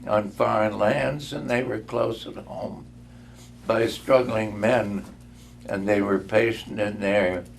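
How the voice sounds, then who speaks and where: An older man giving a dramatic storybook reading in a measured, halting manner, sometimes stumbling and repeating words. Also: medium pitch, creaky, slight background noise, poor audio. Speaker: reader.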